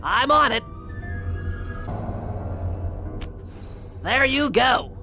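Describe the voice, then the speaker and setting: A brainy cartoon game sidekick with an extremely high voice. He is cautious and earnest, laying things out precisely like a planner, over clear background music. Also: lively intonation, a little slow, bright, forceful tone, full character voice. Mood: focused. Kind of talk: cartoon video game voice acting. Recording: music behind the voice.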